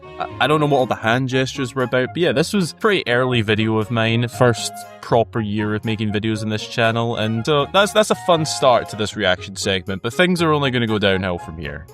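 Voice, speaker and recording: A man's voice: mid-range voice, expressive, fairly quick, fairly bright tone, faint music behind. A Scottish man in his twenties hosting his own channel, chatting casually and teasing himself with amused asides. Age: 20s. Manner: self-mocking, amused, chatty with restarts. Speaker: YouTube host. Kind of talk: casual reaction commentary.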